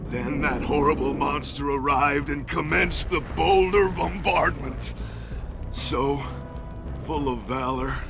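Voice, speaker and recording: Gravelly self pitying warrior dude, slow drawn out drama queen moaning. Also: on the high side, exaggerated voice. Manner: pompous, theatrical, whining. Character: melodramatic, cowardly male village warrior, self-pitying. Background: game music.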